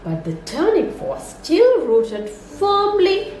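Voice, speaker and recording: A woman reading a children's story aloud as a nursery storyteller, in an expressive, sing-song way meant for young children. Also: extremely high pitch, takes it slowly, slight background noise. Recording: roomy echo, music underneath.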